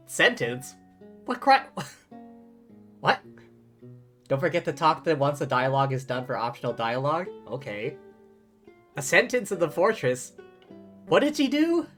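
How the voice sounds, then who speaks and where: A man's voice: on the high side, sing-song, slow pace, long pauses, a bit bright. A man giving casual, wry live commentary as he streams a video game, with game music behind him. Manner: wry, sarcastic.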